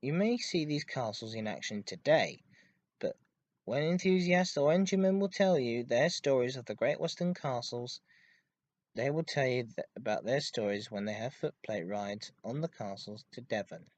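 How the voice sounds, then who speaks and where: Guy doing a history podcast on his own, mid-pitched voice, bit halting, really leans on his emphasis words. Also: lively intonation, darkish tone, no echo. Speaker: podcast host. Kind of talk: informative enthusiast monologue about railway history.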